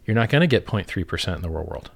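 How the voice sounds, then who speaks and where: A man's voice: low-pitched, animated, racing, creaky. A man giving an opinionated, casual explanation, blunt and emphatic, repeating himself and swearing freely.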